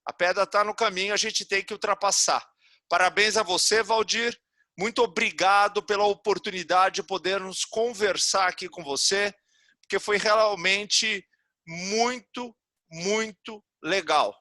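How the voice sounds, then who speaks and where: Brazilian guy hosting a podcast, chatty, high bright voice, dry sound. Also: measured pace, no room sound. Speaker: podcast host. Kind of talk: podcast interview.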